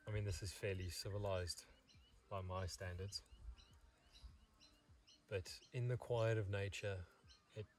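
A man in his thirties talking casually and haltingly to camera as a solo vlogger, in an unhurried way. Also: fairly low pitch. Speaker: vlog host. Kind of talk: casual solo camping vlog. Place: outdoors in an open valley, partly inside a car. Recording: far from the mic, outdoor ambient noise.

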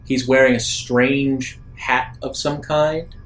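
A man acts as game master for a tabletop roleplaying session. His voice sits at a middle pitch, and he lays out each scene deliberately, often pausing midway through a description. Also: steady tone, a bit bright. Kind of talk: improvised narration of a tabletop sci-fi roleplaying game.

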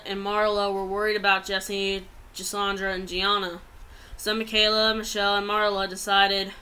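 A woman's voice: mid-range voice, sharp tone, clean tone. A young adult woman reads a written story aloud plainly, stumbling over some words, in a small room at home on a laptop microphone. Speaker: amateur writer reading own story.

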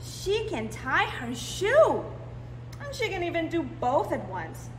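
A woman with a high, very lively voice reads a storybook to small children. She takes her time and leans expressively on key words. The room is echoey. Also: takes it slowly. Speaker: library storytime reader. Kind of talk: animated picture-book read-aloud for young children. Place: indoors in a library, seated. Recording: roomy echo, clear background noise.

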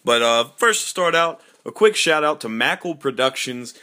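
Young male gaming host, bright forward voice, loose and conversational. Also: a little high, animated, moderate pace. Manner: chatty, informal, rambling. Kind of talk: casual gameplay commentary.

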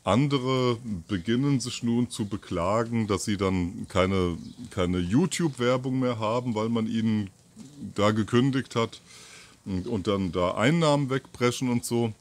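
A man in his fifties giving an informal, halting monologue to camera, thinking aloud with pauses and repeats. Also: fairly low pitch. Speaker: video channel host. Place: outdoors on a terrace or balcony by trees. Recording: outdoor ambient noise.

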